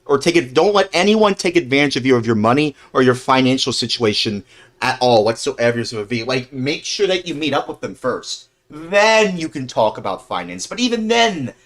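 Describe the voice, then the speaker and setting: A man's voice: medium pitch, very animated, moderate pace, fairly bright tone. A man in his mid-twenties chatting casually as a livestream host, rambling earnestly and often repeating and rephrasing himself.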